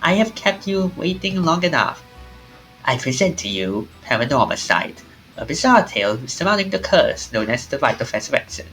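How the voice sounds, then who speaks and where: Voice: mid-range voice, big pitch swings, moderate pace, a bit bright, soft background music, poor audio. A streamer voicing a mysterious, courteous storyteller. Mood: theatrical. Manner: formal, theatrical delivery with small stumbles. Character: mysterious, courteous narrator of ghostly tales. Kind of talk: reading visual novel dialogue aloud.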